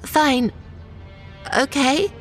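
A woman's voice: high-pitched, extremely slow, soft background music. An actress playing an anxious, self-justifying woman shop manager in a naturalistic audio drama. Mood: nervous, defensive.

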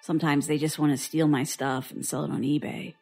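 Bitter, defensive young woman for an audio drama: lowish, slightly rough voice, traumatized, faint music bed. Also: level tone, fairly quick, darkish tone. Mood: haunted, subdued. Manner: sardonic, defensive.

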